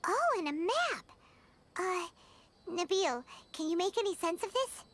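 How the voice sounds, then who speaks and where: A chirpy, high little game companion. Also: big pitch swings, unhurried, heavily acted. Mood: eager. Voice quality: high, bright and childlike. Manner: eager and blunt. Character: tiny high-voiced companion, chirpy and blunt. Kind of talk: game character dialogue. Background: game music.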